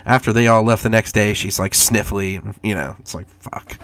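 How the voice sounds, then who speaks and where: A man with a low voice and a stuffed-up nose from a cold chats with friends. He tells his stories in an easygoing, unhurried way. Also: no echo. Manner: easygoing, relaxed storytelling. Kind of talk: casual chat among friends.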